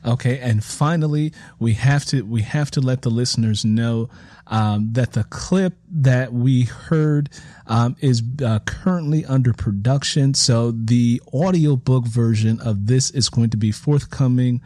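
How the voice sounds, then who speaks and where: Man, interview host, mid-range pitch, conversational, reflective, explanatory, dry sound. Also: unhurried, dark tone, dry recording.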